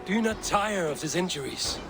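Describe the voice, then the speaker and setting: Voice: mid-range voice, expressive, brisk, vocal fry, music in the background. A performer playing a wary, proud, brooding Viking warrior, terse and solemn, in a video game cutscene. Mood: troubled. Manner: terse, solemn, formal phrasing. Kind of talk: dramatic video game acting.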